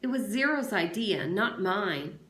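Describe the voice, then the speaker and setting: A woman's voice: medium pitch, expressive, unhurried, fairly bright tone. Woman reading as a defensive teenage boy, echoey. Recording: echoey room sound.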